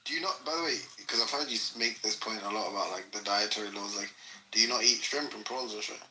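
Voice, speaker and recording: A man chatting casually in a slangy, halting, informal way. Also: fairly low pitch, sharp tone, slight background noise, low-quality recording. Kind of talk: casual chat.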